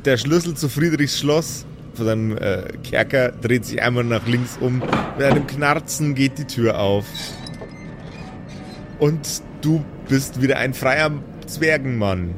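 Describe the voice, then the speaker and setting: A slightly hoarse man narrating improvised scenes as game master. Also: medium pitch, measured pace, over music.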